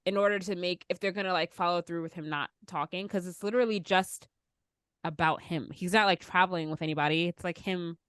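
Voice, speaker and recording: A woman's voice: fairly low pitch, brisk, fairly bright tone, smooth, no room sound. A woman hosting a podcast, chatting casually about pop culture. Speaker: podcast host.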